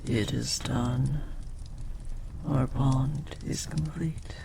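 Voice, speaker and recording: A man playing a devoted, lovestruck demon in an intimate audio roleplay, speaking formally, earnestly and with breathy hesitation. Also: mid-range voice, steady tone, very slow, dark tone, slight background noise, exaggerated voice. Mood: tender, yearning. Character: devoted, lovestruck powerful demon bound to a sorcerer.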